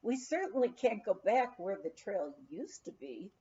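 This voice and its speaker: A woman reading a memoir aloud as scripted narration, in a measured, deliberate, expressive storytelling manner. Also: mid-range voice. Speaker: audiobook reader. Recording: slightly dull, lo-fi recording.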